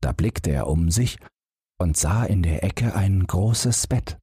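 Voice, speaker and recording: Deep, husky male storyteller, very animated. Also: no echo, clean studio sound. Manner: measured, dramatic storytelling. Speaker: audiobook narrator. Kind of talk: expressive scripted fairy-tale narration.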